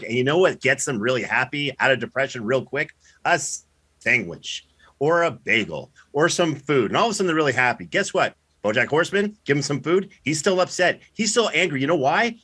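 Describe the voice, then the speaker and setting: Bright-voiced man arguing for laughs. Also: medium pitch. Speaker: debate contestant. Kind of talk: comic improvised argument.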